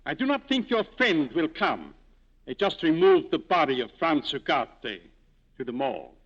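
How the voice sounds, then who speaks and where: An actor playing a coldly polite, menacing army major in 1940s radio style, formal and insinuating. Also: male voice, on the high side, very animated, moderate pace. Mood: coldly threatening. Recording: muffled vintage radio recording.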